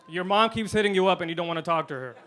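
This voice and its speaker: A man doing a stand-up comedy set, delivering punchlines deadpan and swinging between loud and quiet. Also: high voice, rapid-fire, a crowd in the background, noisy background. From India.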